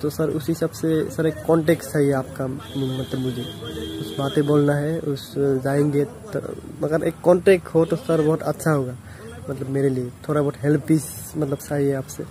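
A man's voice: on the high side, level tone, normal speed. A young man from Assam, India, recording a hesitant fan message outdoors on his phone. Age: young adult. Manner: hesitant, repeating himself. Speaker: fan sending a video message. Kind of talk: selfie video message. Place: outdoors under a shed roof. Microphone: phone camera at arm's length. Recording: phone video with outdoor noise.

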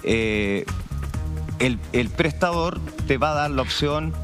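A man's voice: fairly high pitch, lively intonation, takes it slowly, over music. A Chilean man in his forties, a tech founder guest on a morning TV show, explaining steadily with many fillers into a headset mic. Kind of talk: morning TV interview. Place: TV studio set.

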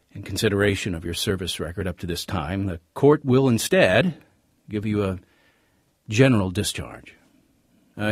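An actor playing a stern but fair navy captain in a radio drama, passing judgment in a formal, measured, authoritative way. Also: male voice, lowish voice, dry recording, clean studio sound.

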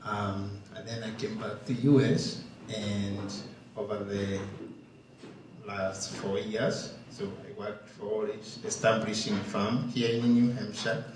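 Slow-spoken Kenyan man in echoey hall. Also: fairly low pitch, flat delivery, background noise. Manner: slow, thoughtful, halting. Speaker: panelist, farmer. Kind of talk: panel discussion remarks. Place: large wooden barn hall, indoors. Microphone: handheld mic, far camera. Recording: echoey barn from far off.

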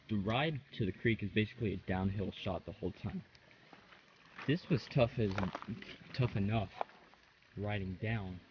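A man's voice: lowish voice, muffled recording, slight background noise. Laid-back teen guy vlogging outdoors by a creek. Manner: rambling, laid-back, hedging with "I don't know". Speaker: fishing vlogger. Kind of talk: casual vlog commentary. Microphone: phone held at arm's length.

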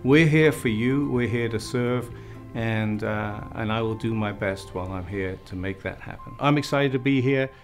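A middle-aged fire chief from Wales with a light Welsh accent, talking earnestly to camera in a studio interview, with "uh" fillers. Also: male voice, on the low side, normal speed, music in the background. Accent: Welsh, softened by years in America. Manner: earnest, upbeat, with frequent "uh" fillers.

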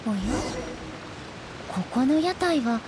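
A woman's voice: sits high, animated, slow, background noise. A woman playing a dignified, composed female shogun in a video game, speaking formally and politely. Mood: calm, curious. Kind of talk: anime-style video game dialogue acting.

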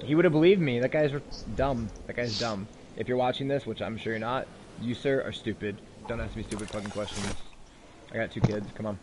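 A man in his late twenties streaming a video game, chatting casually and joking with a friend, with a rather high voice for a man. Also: a little fast, background noise. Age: 20s. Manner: joking, easygoing banter. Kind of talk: casual gaming chat.

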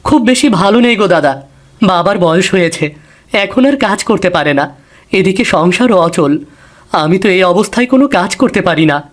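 A man's voice: sits high, expressive, moderate pace. A man voicing a humble, dutiful young man in a cartoon, plaintive and earnest. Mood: humble, worried.

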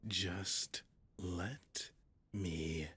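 A deep, breathy male demon, acted out by a man in an ASMR horror roleplay. He drawls with teasing condescension, often sinking into a whisper. He is seductive, possessive and menacing. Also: steady tone, extremely slow, bright, forceful tone, exaggerated voice. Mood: coaxing.

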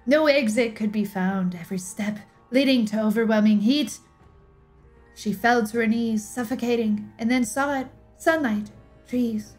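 A young woman giving a dramatic scripted narration, theatrical, with drawn-out emphasis and pauses, close on a streaming mic. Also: a little high, a little mellow. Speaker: streamer reading game lore aloud. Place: small indoor room, livestream setup. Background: music.

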